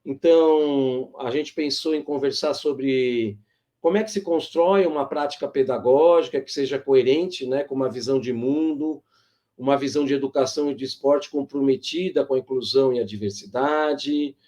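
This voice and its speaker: A Brazilian man hosts an informal livestream discussion. His voice sits at a medium pitch, and he explains things in a measured manner, breaking his phrases with pauses as he guides the panel. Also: dark tone. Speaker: host and moderator.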